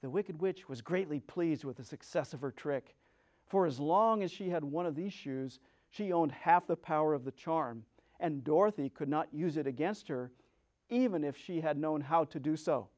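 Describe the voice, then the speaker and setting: A male storyteller with a high-pitched voice reads a storybook aloud. His delivery is dramatic and theatrical, with expressive emphasis on the words. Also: normal speed.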